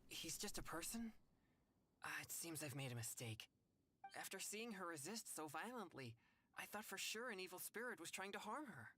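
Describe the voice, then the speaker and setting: Young male exorcist for an anime game: earnest, naive, softly formal. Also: high voice, very animated, moderate pace, slight background noise, heavily acted. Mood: alarmed. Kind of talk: anime-style game voice acting.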